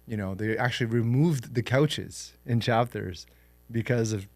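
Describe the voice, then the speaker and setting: A young man born in Lebanon and raised in Canada, telling his life story in a relaxed, reflective way as a podcast guest. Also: mid-range voice, a little slow, dry recording. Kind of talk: interview storytelling.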